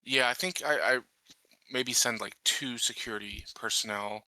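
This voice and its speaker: A man's voice: medium pitch, moderate pace, pushed and bright, no echo, rough recording. A man chatting casually as a tabletop game player, hesitant and questioning. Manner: hesitant, questioning, restarts to think.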